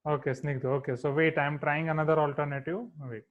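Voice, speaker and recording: An Indian man teaches a live online maths class, switching between English and Hindi and asking students to respond in the chat. His voice sits a little high. The sound is echoey and thin, like a phone line. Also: fairly even intonation, fast talker, noisy background. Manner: code-switching, checks in with students often.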